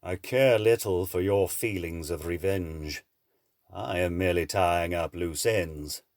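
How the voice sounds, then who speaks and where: Slow-drawling, low-voiced suave villain, acted by a man. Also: darkish tone, dry recording, studio quality. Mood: cool, commanding. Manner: mannered, theatrical, mockingly polite. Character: suave, menacing male villain, condescending and scheming. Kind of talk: audio drama acting.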